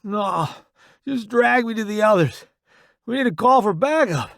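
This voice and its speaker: A man's voice: high-pitched, expressive, unhurried, creaky, dry recording, clean studio sound, voice pushed up, heavily acted. A man voicing a wounded young soldier in an audiobook, strained and blunt, talking through pain. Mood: pained, urgent. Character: wounded young male soldier, pained, cursing, loyal joker.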